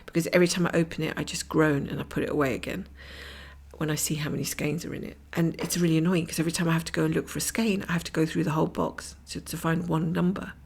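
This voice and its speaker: A middle-aged woman from Scotland chatting casually while she cross-stitches at home, rambling from topic to topic and often dropping to a whisper, her voice croaky and her nose blocked. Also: sits low, level tone, fast talker. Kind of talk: casual stitch-along chat. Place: at home, camera over her stitching hoop.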